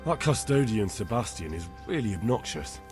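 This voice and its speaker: An actor playing an earnest, helpful young medieval villager in a video game, speaking plainly. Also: male voice, on the low side, sing-song, moderate pace, a little mellow, faint music behind. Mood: dry, polite. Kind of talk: video game cutscene dialogue.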